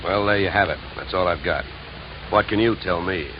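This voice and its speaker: Man playing deep-voiced hard-boiled radio detective. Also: a little hiss or hum, natural voice. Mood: probing, businesslike. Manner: wisecracking, deadpan, brisk. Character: wry, hard-boiled male insurance investigator, narrating his case. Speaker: lead actor and narrator. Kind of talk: 1950s radio detective acting. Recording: dull vintage radio recording, music behind.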